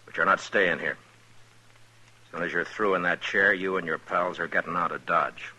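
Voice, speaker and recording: Terse calm lawman, very deep voice, firm and unhurried, old radio drama. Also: breathy edge, exaggerated voice, rough recording. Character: stern steady male frontier marshal. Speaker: narrator and lead. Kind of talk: 1950s radio drama acting and narration.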